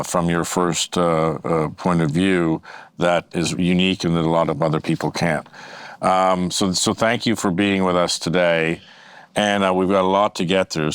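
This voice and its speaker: A man's voice: low voice, clean tone, dry recording. A middle-aged man interviewing a guest, blunt and conversational. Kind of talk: casual interview.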